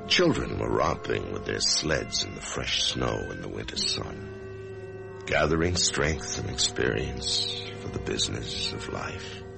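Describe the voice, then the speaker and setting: An actor playing an anxious, death-haunted office man in a radio drama, speaking in a halting, emotional way. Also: rumbling low, expressive, vocal fry, over music, heavily acted. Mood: brooding. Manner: halting, emotional, swinging from brooding to agitated. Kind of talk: old-time radio drama acting, first-person narration and dialogue.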